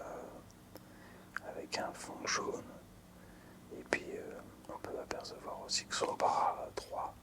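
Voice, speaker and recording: A man whispering softly and hesitantly in an unhurried ASMR style, as a video creator showing something to viewers. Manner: soft, unhurried, hesitant with pauses.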